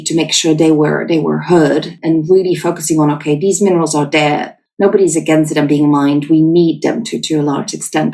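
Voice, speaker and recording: A woman's voice: deep voice, darkish tone, distant mic. A Dutch woman in her forties, a podcast guest, telling her story with frequent restarts and repeated words. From Netherlands. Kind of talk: podcast interview.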